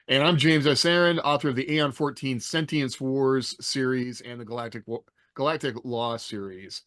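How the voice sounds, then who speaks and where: A man's voice: medium pitch, a bit bright, no echo, clean studio sound. A middle-aged man hosting a podcast in a casual panel style. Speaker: podcast host. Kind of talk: casual panel talk with rehearsed introductions.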